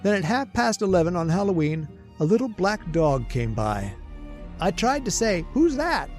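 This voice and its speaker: A man's voice: high voice, big pitch swings, normal speed, a little mellow, faint music behind. A man playing a henpecked, jittery immortal husband in an old-time radio drama, confiding his story with stammering, plaintive asides. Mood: aggrieved. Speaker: actor. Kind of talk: old-time radio horror-comedy acting, first-person narration.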